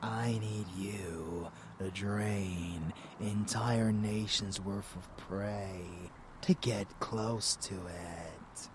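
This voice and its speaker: A man's voice: lowish voice, fairly even intonation, very slow, hardly any pauses, noisy background. A man playing a murderous, doting vampire lover in an intimate ASMR audio roleplay, drawling and often dropping into whispers. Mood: sinister, coaxing. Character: rebellious murderous male vampire lover, doting yet bloodthirsty.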